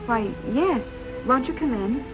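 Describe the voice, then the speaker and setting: An actress playing a polite, unsettled fiancee in a vintage film noir, speaking a little hesitantly. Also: female voice, on the high side, expressive, unhurried, lo-fi sound, over music. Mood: polite, shaken. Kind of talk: 1940s film noir acting.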